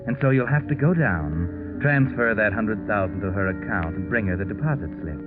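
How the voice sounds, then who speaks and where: A man's voice: on the low side, animated, moderate pace, close to an everyday voice. An American actor playing a sardonic, all-knowing narrator of a 1940s radio mystery, wryly needling the character he addresses, on a dull old recording over music. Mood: wry, taunting. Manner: wry, needling, second-person address. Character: sardonic omniscient narrator taunting the protagonist.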